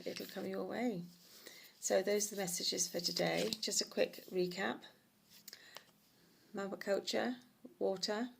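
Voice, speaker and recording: Woman, middle-aged, oracle reader, croaky and husky, low, rambling livestream delivery. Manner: soft, meandering, many ums. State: hoarse. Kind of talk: livestream card reading.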